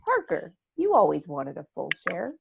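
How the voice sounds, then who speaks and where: A woman playing a tough, sardonic spaceship crew member in an amateur table read, creaky voice on a dull lo-fi recording. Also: lowish voice, wildly swooping pitch, normal speed, a little hiss or hum, full character voice. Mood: grim, wry.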